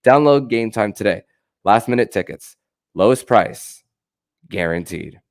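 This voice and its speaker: A man hosting a sports podcast, with a halting delivery that often stammers and repeats words. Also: on the low side, animated. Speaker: podcast host.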